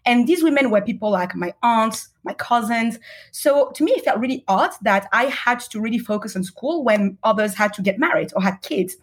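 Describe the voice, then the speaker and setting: A woman's voice: a little high, expressive, normal speed. A woman from Cameroon and Madagascar speaking as an interview guest, explaining her path in an earnest, conversational way. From Cameroon and Madagascar, partly raised in Paris. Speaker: podcast guest, startup investor. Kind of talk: conversational interview answers, personal narrative.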